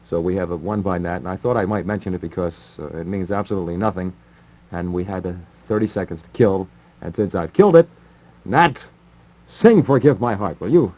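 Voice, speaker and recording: A man's voice: sits low, fairly quick, warm tone, slight background noise, low-quality recording. A man chatting casually as a 1950s radio disc jockey, with a rambling, wry manner.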